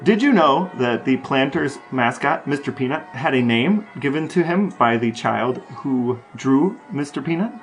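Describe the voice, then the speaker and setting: A man's voice: medium pitch, expressive, unhurried, hardly any pauses, soft background music. A man co-hosting a podcast in casual chat, reading out quotes and bits of trivia. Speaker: podcast co-host.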